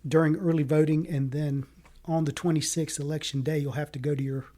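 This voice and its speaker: A man raised in the American South whose first language was Greek, talking earnestly in a casual interview, with many halting fillers. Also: a little high, little pitch movement, warm tone. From Fayetteville, North Carolina. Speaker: city council candidate.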